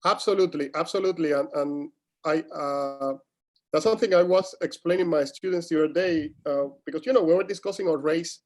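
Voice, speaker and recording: A man's voice: fairly high pitch, fairly quick, darkish tone. A man from Cuba, a native Spanish speaker with a Cuban accent, talking as an academic guest in a scholarly conversation, often searching for words.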